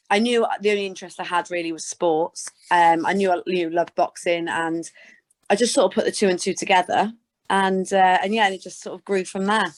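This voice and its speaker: A British woman chatting informally as a guest in an interview, answering in a conversational way. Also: lowish voice. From Scotland and Gloucestershire, England. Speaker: interview guest, sports presenter. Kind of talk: casual interview chat.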